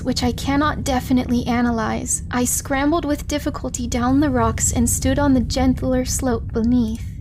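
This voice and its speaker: A giggly, expressive woman hosting a casual livestream, chatting with viewers and reading a story aloud. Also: high-pitched, background noise. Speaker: livestream host. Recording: music playing behind the voice. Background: background music.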